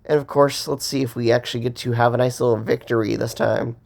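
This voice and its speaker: A man with a cold casually commenting on gameplay as host, rambling with fillers and pausing for breath. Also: mid-range voice, fairly even intonation, vocal fry, a little mellow. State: has a cold.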